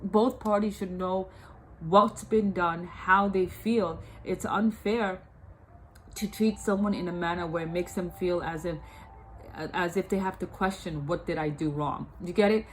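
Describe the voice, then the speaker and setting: A woman's voice: lowish voice, fairly even intonation, a little slow, a little hiss or hum, rough recording. Stuffed-up woman giving a tarot reading. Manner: repeats words and restarts often, checks in with "you understand?". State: stuffed up from a cold. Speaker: tarot reader.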